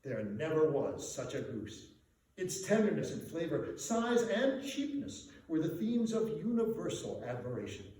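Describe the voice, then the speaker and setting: A man giving a dramatic literary reading as a storyteller, theatrical and measured. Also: on the high side, dark tone. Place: reverberant indoor venue. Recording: echoey room, distant mic, faint noise.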